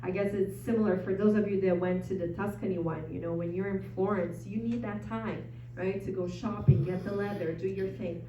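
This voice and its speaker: A woman's voice: medium pitch, steady tone, fairly quick, few pauses, background noise. A woman with a Brazilian Portuguese accent, Portuguese as her first language, giving a casual travel presentation to a live audience with frequent ums and uhs. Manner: chatty, frequent ums and uhs, self-deprecating. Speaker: travel presenter at an info session. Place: meeting room with an audience. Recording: echoey room from far off, dull sound.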